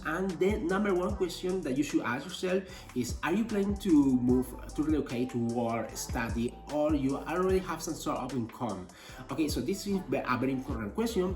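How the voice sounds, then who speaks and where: Man vlogger, emphatic, recorded in an echoey room from a distance with faint music behind. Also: a little high. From Spain. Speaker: expat vlogger. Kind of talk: informational vlog.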